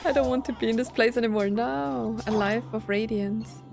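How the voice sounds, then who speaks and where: Girl streaming a game, chatty reactions, just thinking out loud, music playing behind her. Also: a little high, normal speed, gravelly. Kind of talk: casual gameplay commentary.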